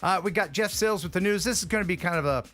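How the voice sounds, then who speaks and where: A man's voice: sits high, fast talker, fairly bright tone, faint music behind. A middle-aged man hosting a live stream, chatting casually in a rambling, heartfelt way while grieving a lost dog. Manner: rambling and heartfelt, with frequent restarts. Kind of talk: casual chat.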